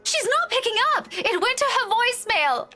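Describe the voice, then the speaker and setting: A woman playing an anxious, fussy and sharp-tongued young schoolteacher in a visual novel game. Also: very high-pitched, very animated, normal speed, heavily acted. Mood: panicked, worried. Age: young adult. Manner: urgent, insistent. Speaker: game voice actor. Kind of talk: dramatic visual novel dialogue. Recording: music behind the voice.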